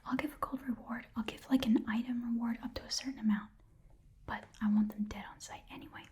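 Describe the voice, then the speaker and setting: A woman voicing a shrewd, chatty goblin shopkeeper in a breathy whisper, rambling and muttering to herself as she haggles in an ASMR roleplay. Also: a little high, steady tone, fast, darkish tone, slight background noise, lightly acted. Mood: grudging, muttering. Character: shrewd, chatty goblin pawn shop keeper.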